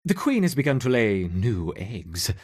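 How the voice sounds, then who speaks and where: A man's voice: lowish voice, sing-song, measured pace, darkish tone. A man playing an eager, hesitant scientist who dotes on his ant colony in a video game. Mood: reporting keenly. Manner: hesitant, stammering, fussing over his subjects.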